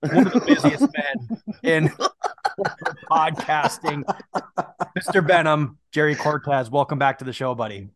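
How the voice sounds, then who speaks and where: A man's voice: on the high side, animated, a little slow, pauses often, slight background noise. A man hosting a podcast, giving hyped, joking introductions in casual chat. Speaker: podcast host.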